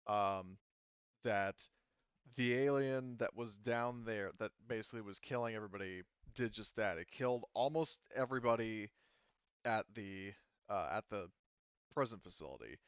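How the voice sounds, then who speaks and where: Game master, male, smooth clear voice, rambling and casual, muffled recording. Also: lowish voice, normal speed, no echo. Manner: casual, many fillers like "like" and "um". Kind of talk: explaining rules and lore in a tabletop game.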